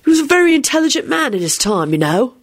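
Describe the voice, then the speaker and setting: Wanted: woman to read a cheerful, courteous young man for a storybook, eager and animated. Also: high voice, quick pace, voice pushed up, full character voice. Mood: earnest.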